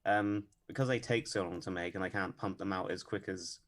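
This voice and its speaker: A man guesting on a podcast, talking about his animation work in a deadpan, measured way with many pauses and ums. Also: deep voice. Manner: measured, lots of ums and hedges. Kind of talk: casual interview chat.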